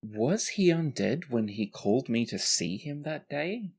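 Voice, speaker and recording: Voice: mid-range voice, big pitch swings, a little slow, darkish tone, breathy edge, voice pushed up, heavily acted. A game master voicing a weary, troubled adventurer who talks bluntly and is full of questions, in a tabletop game.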